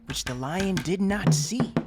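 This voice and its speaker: A woman narrating scripted fables with deliberate, theatrical storytelling. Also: on the low side, expressive, heavy background noise. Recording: loud music behind.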